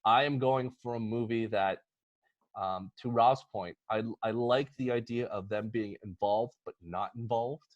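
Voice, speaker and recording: Excitable man rambling through a casual pitch. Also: a little slow, no room sound, muffled recording. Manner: rambling, excitable, acts out imagined quotes. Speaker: podcast panelist. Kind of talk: casual comedic movie pitch.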